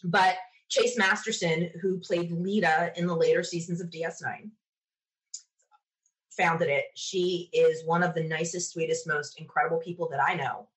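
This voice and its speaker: A woman's voice: on the low side, steady tone, normal speed, low-quality recording. A middle-aged woman chatting casually as a podcast guest, telling stories in an opinionated, emphatic way. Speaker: podcast guest being interviewed. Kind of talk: casual conversational storytelling.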